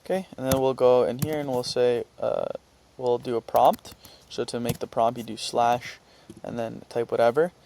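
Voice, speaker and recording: Man giving an informal, halting software tutorial. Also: medium pitch, fairly even intonation, creaky, slight background noise. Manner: halting, thinking aloud while typing and reading out script. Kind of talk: informal screen-share tutorial.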